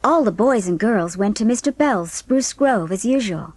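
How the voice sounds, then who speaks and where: A woman's voice: medium pitch, animated, moderate pace, darkish tone, dry recording. A woman reading scripted audiobook narration of a story as its narrator.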